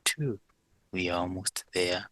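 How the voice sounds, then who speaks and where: A man working as a hypnosis session guide, leading and questioning in a deliberate, soothing, measured way. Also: low voice, bright, forceful tone, no room sound.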